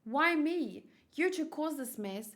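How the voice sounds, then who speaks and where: A woman acts out a defiant young woman soldier in a manga story. She is irritable and argumentative, with a snappy, high-pitched delivery. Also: animated, slow pace, fairly bright tone, exaggerated voice. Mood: indignant.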